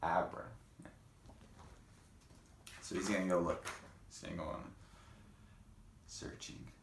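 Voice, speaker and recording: A man reading a picture book aloud to children, with gentle, chatty asides to young listeners. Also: low-pitched, flat delivery, slow pace, reverberant, slight background noise. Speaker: read-aloud host.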